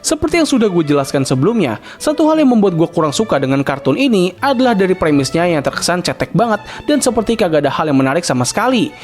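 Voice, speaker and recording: A man's voice: high voice, big pitch swings, fast, darkish tone. A young man narrating a scripted cartoon review in casual slang, opinionated and chatty. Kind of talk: scripted video-essay narration. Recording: voice-over with faint background music.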